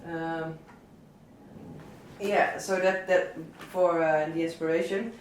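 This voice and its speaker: Man with a southern Dutch accent, relaxed record talk full of fillers; slightly high pitch, recorded at a distance in a reverberant room with faint noise. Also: slow pace. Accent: Dutch, southern Brabant. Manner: hesitant, many fillers and restarts. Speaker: DJ and record selector. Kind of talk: casual talk about favourite records. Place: indoor listening session. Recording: echoey room, mic at a distance.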